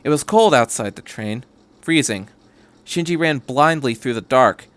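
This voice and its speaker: Guy reading fan fiction out loud for a podcast, medium-pitched voice, storytelling narration. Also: expressive, unhurried. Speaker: podcast host and story reader.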